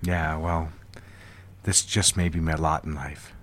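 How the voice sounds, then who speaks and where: An actor playing an earnest, formal businessman in an audio drama, a man with a husky voice. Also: a bass voice, flat delivery, a little slow, fairly bright tone. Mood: earnest, pleading. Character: businessman courting his secretary, earnest, turning curt when rebuffed. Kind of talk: dramatic audio fiction phone dialogue.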